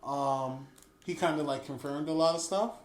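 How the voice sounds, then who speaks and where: A man co-hosting a casual podcast, talking in a relaxed, joking way. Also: on the high side, normal speed. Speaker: podcast co-host. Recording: echoey room with faint noise.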